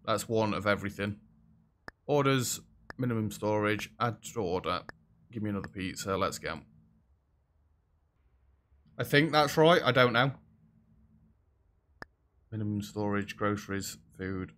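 A young British man with a rather deep voice, chatting casually over a game as host and joking with the characters on screen. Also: fairly bright tone, a little hiss or hum. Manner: chatty, self-deprecating, talks to game characters. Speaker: gaming video host. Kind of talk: casual let's-play commentary. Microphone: headset.

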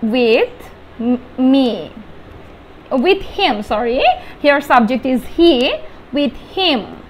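A young adult Bengali-speaking woman with a Bangladeshi accent, explaining grammar step by step as a teacher, halting and repeating key words with emphasis. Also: sits high. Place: small indoor room with whiteboard. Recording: steady background room noise.

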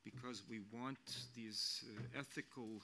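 A man's voice: mid-range voice, fairly even intonation, pushed and bright, slight background noise. Earnest older man at a panel desk. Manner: measured, earnest, with frequent restarts and fillers. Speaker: international mission official on a panel. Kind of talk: unscripted panel remarks. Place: press center hall. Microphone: desk microphone.

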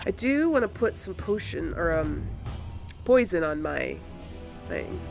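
A woman's voice: fairly low pitch, big pitch swings, measured pace, creaky. A woman hosting a gaming video, thinking aloud in casual let's play commentary. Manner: thinking aloud, muttering to herself. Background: loud game music and combat sounds.

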